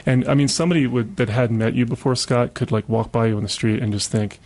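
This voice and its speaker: A man's voice: mid-range voice, fairly even intonation, fast, a little mellow, slight background noise. A man hosting a casual radio interview in a chatty, encouraging manner. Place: radio studio.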